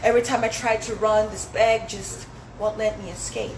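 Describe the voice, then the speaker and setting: A young woman from Zambia, a Swahili speaker, performing a reflective spoken word poem in a measured recitation at a dinner event. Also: mid-range voice, fairly even intonation. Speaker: poet. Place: indoor dinner event hall. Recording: roomy hall with background noise.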